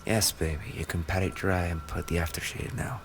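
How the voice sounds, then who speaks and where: A man playing an affectionate, injured racing driver boyfriend in an intimate roleplay audio, speaking softly and tenderly, often close to a whisper. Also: sits low, fairly even intonation, a little fast, music in the background. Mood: playful, fond.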